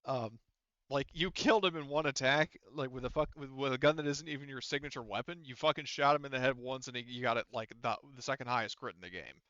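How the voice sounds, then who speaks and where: A man's voice: medium pitch, fast talker, sharp tone, clear, resonant tone, dry recording, dull audio. A man working as a game master, casually explaining tabletop game rules in a conversational way peppered with swearing. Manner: profane, conversational.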